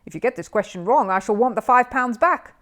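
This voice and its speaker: A showy, easily exasperated male master of ceremonies, played by a woman reader. He announces emphatically and condescendingly, with big swoops and a raised pitch, in a children's storybook manner. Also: expressive, fairly quick, fairly bright tone. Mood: taken aback.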